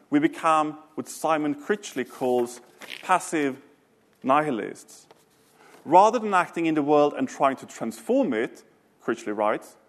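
Measured Swedish-raised male speaker. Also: fairly high pitch. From Sweden. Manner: measured, thoughtful, with hesitant restarts when answering. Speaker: author and speaker. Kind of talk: lecture, then panel discussion.

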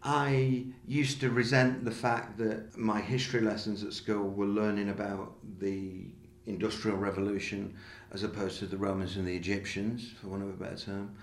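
Middle-aged male interviewee, low voice, halting and conversational, roomy echo. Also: little pitch movement. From Manchester, England. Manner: halting, self-repeating delivery. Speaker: interviewee, designer. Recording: echoey room.

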